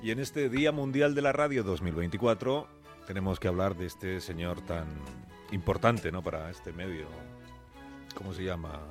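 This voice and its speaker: A man's voice: low-pitched, normal speed. A man presenting live morning radio, telling a story with rhetorical questions and emphatic repetitions. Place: radio broadcast. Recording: music bed behind voice.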